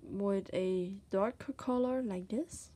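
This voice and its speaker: Dutch woman, art tutor, unhurried, soft-spoken, halting word-searching delivery. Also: mid-range voice. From Netherlands. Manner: hesitant, searching for words, many fillers; soft-spoken, often drops to a whisper. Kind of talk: casual drawing tutorial while working. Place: desk, indoors.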